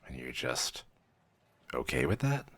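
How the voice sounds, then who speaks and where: Very deep-voiced man playing a matter-of-fact vampire mentor. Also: big pitch swings, a bit bright, exaggerated voice. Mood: casual, matter-of-fact. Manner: measured, clinical, dryly matter-of-fact, hesitant when surprised. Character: male vampire mentor, brisk weary clerk-medic, professional turning casual. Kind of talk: scripted ASMR roleplay.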